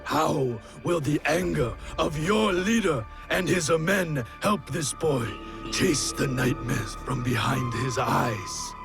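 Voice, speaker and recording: A man playing a grave, weary Japanese lord in a video game, speaking in a measured, formal and solemn way. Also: fairly high pitch, animated, creaky, over music, heavily acted. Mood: sorrowful, grave. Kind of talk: dramatic video game cutscene acting.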